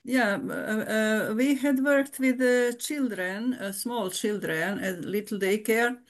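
Woman, older, nun, halting, many restarts, frequent laughs. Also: on the high side. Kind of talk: casual interview.

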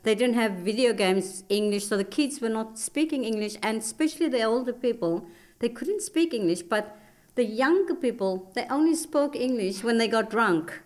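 A woman's voice: on the high side, animated, normal speed. A middle-aged woman from South Africa, a guest in an interview, chatting easily and laughing readily. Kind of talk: casual chat.

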